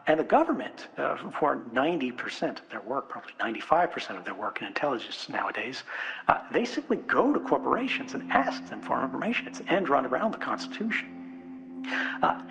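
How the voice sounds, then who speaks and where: A man's voice: mid-range voice, very animated, a little fast, gravelly, fairly bright tone, low-quality recording. An American man giving an informal, unscripted public speech in a conversational, emphatic way, often checking in with rhetorical questions. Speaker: conference speaker. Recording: speech with music laid underneath. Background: music.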